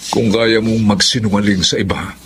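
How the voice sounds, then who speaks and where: An actor playing a protective, concerned older brother in a Tagalog drama. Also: male voice, on the low side, little pitch movement, moderate pace, noisy background, close to an everyday voice. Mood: concerned, insistent. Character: protective, concerned older brother who sees through lies. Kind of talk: Tagalog television drama acting.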